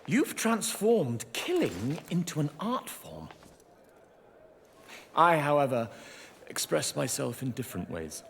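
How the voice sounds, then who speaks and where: An actor playing a pompous, decadent young Roman prince in a video game, affected, languid and very pleased with himself. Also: male voice, fairly high pitch, wildly swooping pitch, slow pace, creaky, heavily acted. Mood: smug, preening. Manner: affected, languid, self-satisfied. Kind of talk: theatrical video game acting. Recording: reverberant hall, faint background noise.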